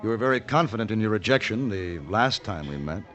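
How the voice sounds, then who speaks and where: A man playing a suave, self-assured gentleman gunfighter in a 1950s radio western, with a smooth, courtly, dry delivery. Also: on the low side, animated, fairly quick, dull audio, faint music behind. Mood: courtly, flirtatious. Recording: vintage radio drama recording.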